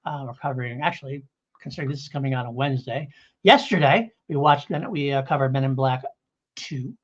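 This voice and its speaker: A middle-aged man chats as the host of a review podcast. His voice is husky and mid-pitched, and he rambles casually, correcting himself along the way. The audio is a little dull and lo-fi. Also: brisk.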